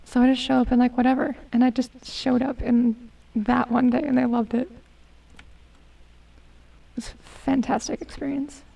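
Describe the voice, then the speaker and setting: Young woman streamer, hoarse and gravelly, high-pitched, chatty and self-deprecating. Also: level tone, normal speed, warm tone, far from the mic, low-quality recording. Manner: chatty, self-mocking, hums and mutters to herself. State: losing her voice, hoarse. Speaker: gaming streamer. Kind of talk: casual gameplay commentary.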